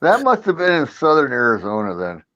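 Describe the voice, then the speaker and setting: A man's voice: medium pitch, huge pitch swings, a little fast, a bit bright, no echo, muffled recording. An older man who retired from law enforcement, chatting as a guest in a friendly, agreeable way. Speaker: chat guest, retired police officer.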